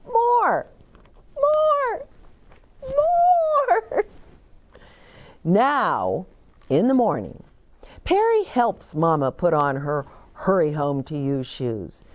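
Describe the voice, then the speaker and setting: An older woman reading a picture book aloud and speaking deliberately and simply, as if to small children. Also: low-pitched, very animated, lo-fi sound. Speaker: children's storytime reader and host. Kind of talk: expressive picture-book read-aloud with asides to young children. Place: indoor bookshop-like set with shelves, seated in armchair.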